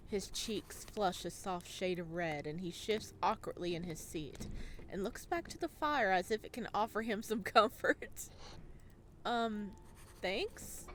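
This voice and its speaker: A playful, expressive woman streamer reading a visual novel aloud and adding her own reactions. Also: mid-range voice, a little hiss or hum. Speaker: video game streamer.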